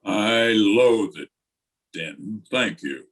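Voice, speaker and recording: Pompous low-voiced school principal played by a man. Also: expressive, slow, fairly bright tone, heavily acted. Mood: sour, disdainful. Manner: pompous, grandiloquent, blustering. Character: pompous, irritable middle-aged male high school principal and father. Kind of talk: old-time radio comedy acting. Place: remote recording over video call.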